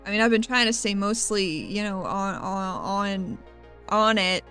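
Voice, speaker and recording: A woman's voice: mid-range voice, fairly even intonation, pushed and bright, faint music behind. Frazzled overworked councillor lady, trips over her words, player doing her. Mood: flustered. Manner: halting, stumbling over words. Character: busy, overworked woman councillor, tired but determined.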